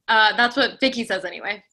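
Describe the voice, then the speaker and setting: A woman's voice: on the high side, bright, forceful tone. An actress playing a chirpy, sociable, slightly awkward woman at a bar in a play reading, chatty and giggly.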